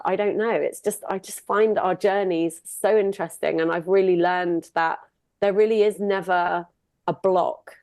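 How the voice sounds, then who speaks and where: A woman's voice: mid-range voice, darkish tone, dry recording, clean studio sound. A middle-aged woman from the UK, a singer and sound healer, talking casually and upbeat in an interview. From United Kingdom. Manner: upbeat, chatty, laughs often.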